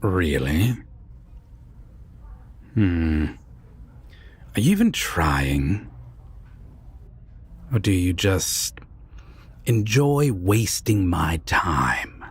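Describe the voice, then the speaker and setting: A man playing a menacing, condescending vampire captor in a roleplay audio drama, talking in a mocking, deliberate way with husky, breathy whispers. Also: sits low, very animated, heavily acted. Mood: menacing, accusing. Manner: slow, deliberate, mocking, formal phrasing.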